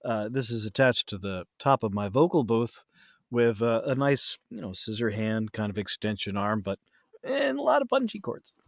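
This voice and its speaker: Male co-host, wry and mock-pedantic, animated pitch swings, dry booth sound, casual banter. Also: moderate pace, dry recording. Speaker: podcast co-host, voice actor. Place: home-made vocal booth with blankets.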